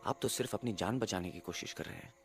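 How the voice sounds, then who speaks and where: A man voicing an urbane, showy parrot in an animated film, a reluctant adventurer who mixes in English phrases. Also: lowish voice, quick pace, music in the background, natural voice. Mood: earnest resolve.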